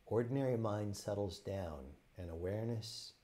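Low-voiced man gently guiding meditation. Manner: gentle, unhurried, pausing between short phrases. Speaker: meditation teacher. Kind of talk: guided meditation.

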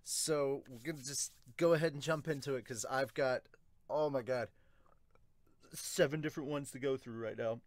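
A man hosts a casual manga review in an informal, exclamatory way. His voice sits at a middle pitch and he often breaks off into asides. The sound is dry, recorded at a desk in a room at home. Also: normal speed, no echo. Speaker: manga reviewer and podcast host. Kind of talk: casual reaction commentary with improvised manga dubbing. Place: small furnished room at home.

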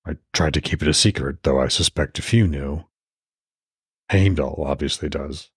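A man voicing a weary, brooding Norse god in a fantasy audiobook, speaking in a grave, regretful, measured way. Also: a bass voice, slightly rough, dry recording, clean studio sound, voice pushed down, full character voice.

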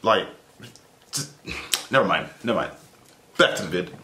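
A young man reacting to a video from his small bedroom, excitable and playful, with teasing asides into the webcam. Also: low-pitched, moderate pace. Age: young adult. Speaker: reaction vlogger. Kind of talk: casual reaction commentary. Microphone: webcam at desk, earphones in. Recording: echoey room.

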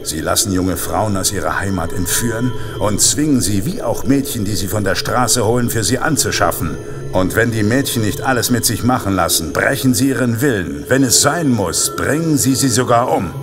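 An actor playing a vengeful vigilante killer in a Santa costume in a horror audio drama, speaking with deliberate, self-righteous menace. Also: male voice, fairly low pitch, lively intonation, music in the background, close to an everyday voice.

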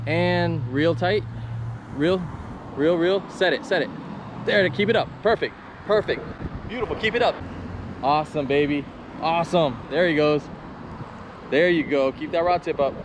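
A man's voice: fairly high pitch, moderate pace, pauses often. Chatty man fishing by a canal. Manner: excited, chatty, hushed when stalking fish. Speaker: fishing vlogger. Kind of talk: casual vlog narration and coaching. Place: outdoors by a canal, sometimes in a car. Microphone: action camera. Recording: outdoor camera audio with wind and traffic noise.

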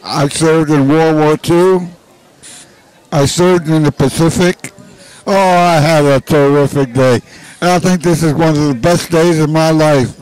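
Enthusiastic old veteran, dry sound. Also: male voice, on the high side, steady tone, measured pace, darkish tone, clear, resonant tone, no echo, low-quality recording. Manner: enthusiastic and grateful.

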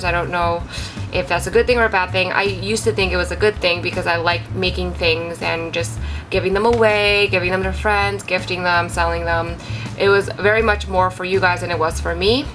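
A young woman talks in a chatty, rambling way, her voice stuffy and congested from a cold. Her voice sits a little low, and clear background music plays under her voice-over. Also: low-quality recording. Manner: chatty, apologetic, with frequent um and like. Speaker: craft channel host. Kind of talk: casual show-and-tell vlog.

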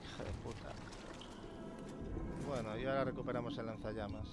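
A man's voice: mid-range voice, flat delivery, a little fast. A man with an accent from Spain giving casual, colloquial and sweary commentary as he plays a video game. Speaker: gamer, channel host. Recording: loud game noise behind voice.

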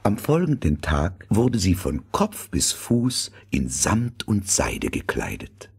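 A man narrating a fairy tale from a script as a storyteller, with a gravelly, husky voice. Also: low-pitched, very animated, slow.

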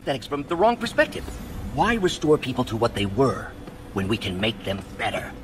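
An actor playing an ambitious older male scientist in a video game, absorbed and musing in a measured way. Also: mid-range voice, wildly swooping pitch. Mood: absorbed, determined. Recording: clear background noise.

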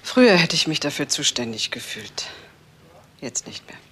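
An actress playing a cool, haughty doctor's wife under suspicion in a radio crime drama, dry and disdainful. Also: female voice, sits low, sing-song, normal speed, a bit bright. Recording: faint background noise.

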